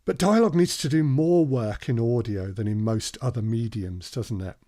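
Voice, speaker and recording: Man co-presenting, medium-pitched conversational voice. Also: moderate pace, warm tone. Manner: prompts his co-presenter with tag questions. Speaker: workshop co-presenter. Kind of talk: conversational workshop discussion.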